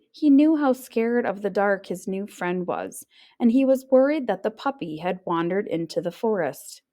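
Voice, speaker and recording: A woman narrates a children's storybook with very animated, swooping intonation. Her voice sits at a medium pitch, and she reads expressively like a teacher, playing up the little sound words. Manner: expressive, acting out sounds and exclamations. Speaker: teacher reading a picture book aloud.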